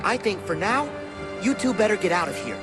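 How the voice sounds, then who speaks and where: A man voicing a spirited, good-hearted teenage boy hero in an animated video game, speaking earnestly. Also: high-pitched, fairly quick. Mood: determined. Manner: earnest, eager. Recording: game music behind the voice.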